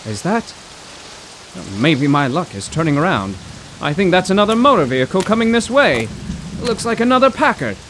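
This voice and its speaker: An actor playing an excitable, bookish professor in a 1930s radio drama, theatrical and wordy with old-fashioned exclamations. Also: male voice, high-pitched, moderate pace. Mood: alarmed, indignant. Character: excitable, bookish 1930s male poetry professor, stranded motorist. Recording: rain and road noise.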